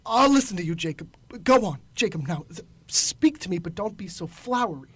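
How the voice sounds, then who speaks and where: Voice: mid-range voice, expressive, brisk, breathy edge, no echo. Someone doing a grumpy old miser guy for a radio play, stammers and blusters, voice jumps up and down a lot. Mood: nervous, pleading. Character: crotchety old male miser, frightened and scoffing. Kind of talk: radio drama acting.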